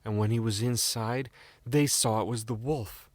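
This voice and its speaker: A man's voice: mid-range voice, steady tone, normal speed, no echo. A man with a British accent reading a scripted fairy tale as a storyteller.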